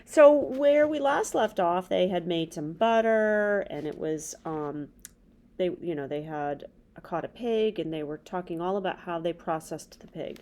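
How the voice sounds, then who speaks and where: Animated woman reading to children. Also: lowish voice, a little slow. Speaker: read-aloud host. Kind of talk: children's story reading with casual asides. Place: small indoor room with bookshelves.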